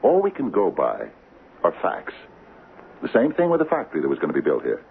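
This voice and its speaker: An anxious middle-aged small-town mayor in a radio drama. His voice is gravelly and swings widely as he hesitates and stammers in earnest. The sound is thin and phone-like, like an old broadcast. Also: low-pitched, huge pitch swings, a little fast, a little hiss or hum, exaggerated voice. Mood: urgent, fearful.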